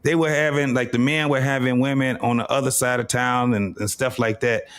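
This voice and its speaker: A man's voice: mid-range voice, fairly even intonation, fairly quick, a bit bright, clean tone. A man in his fifties talking in a casual, heated panel discussion, explaining at length and repeating his points emphatically. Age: 50s.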